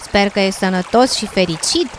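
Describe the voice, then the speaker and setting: A woman's voice: mid-range voice, close to an everyday voice. Woman as a chirpy blue frog, noisy water-filled recording. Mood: cheerful, playful. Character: friendly, talkative blue frog in a dream. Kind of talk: educational radio drama acting. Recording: noisy, with running water sounds behind.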